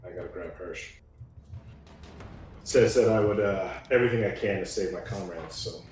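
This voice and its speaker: A man's voice: low-pitched, moderate pace, darkish tone, distant mic. A man plays a tabletop roleplaying game and talks casually about his moves. His voice comes from away from the mic in a very echoey room, with faint music behind it.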